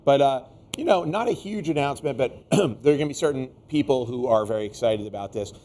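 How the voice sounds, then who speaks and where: A man's voice: medium pitch, lively intonation, a little fast, darkish tone. A man hosting a casual, unscripted tech discussion, rambling and exasperated, tired and getting sick. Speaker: tech show host.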